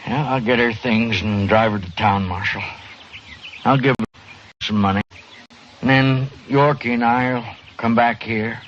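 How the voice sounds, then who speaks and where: An actor playing a kindly, forgiving old rancher in a radio western, plain-spoken and folksy with a rural American accent. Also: male voice, mid-range voice, steady tone, a little slow, long pauses, fairly bright tone, close to an everyday voice. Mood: resigned, gentle. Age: older. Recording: muffled, hissy old radio recording.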